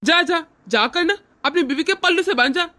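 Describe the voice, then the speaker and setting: A woman's voice: extremely high pitch, animated, moderate pace, sharp tone, full character voice. A woman voicing a tyrannical old father-in-law. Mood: contemptuous. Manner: scornful, threatening, barking orders. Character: harsh domineering older man, a tyrannical father-in-law. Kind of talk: Hindi cartoon family drama dialogue.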